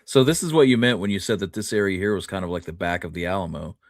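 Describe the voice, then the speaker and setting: A man with a low voice and a dry sound co-hosts an informal chat. He speaks casually, mixing brief interjections with loosely phrased questions. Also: fast, dry recording. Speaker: co-host.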